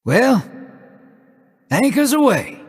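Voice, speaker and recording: A man voicing a laid-back, streetwise rogue in a video game, with a husky, folksy, wry drawl. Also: extremely high pitch, sing-song, slow pace, big-room sound, full character voice. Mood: easygoing. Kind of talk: scripted game voice acting.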